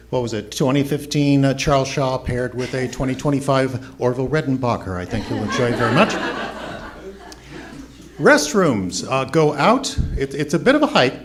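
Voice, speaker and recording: A man hosting a live stage show, welcoming the audience and introducing performers in a jokey, informal announcer's manner. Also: medium pitch. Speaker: host and founder of a radio theater. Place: on stage in a theater before an audience. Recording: noisy live theater recording.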